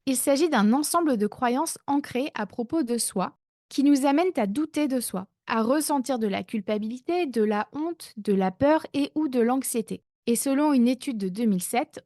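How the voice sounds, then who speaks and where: Woman, 30s, life-coach podcast host, higher voice, relaxed chatty delivery, dry close recording. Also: no room sound. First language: not English. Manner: chatty, self-mocking, many fillers. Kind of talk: casual podcast monologue.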